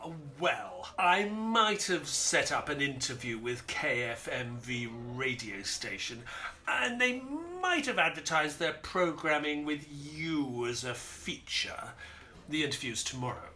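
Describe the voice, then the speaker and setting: A man's voice: fairly high pitch, very animated, unhurried, sharp tone, full character voice. An actor in an audio play as a mild, affable male doctor and detective's sidekick, polite and a little hesitant. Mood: mild, sheepish. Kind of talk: audio play acting and narration.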